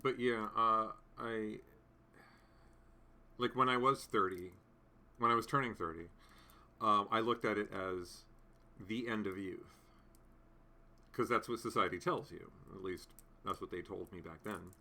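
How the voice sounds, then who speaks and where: Bright-voiced man chatting live. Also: on the low side, unhurried, long pauses. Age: 30s. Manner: rambling, many fillers and restarts. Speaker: livestream host. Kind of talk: casual live chat reading comments.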